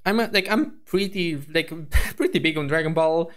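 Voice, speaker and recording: A man streaming as a VTuber, reacting to a video in casual, rambling chat full of fillers and restarts, his voice hoarse. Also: on the high side, normal speed, a bit bright.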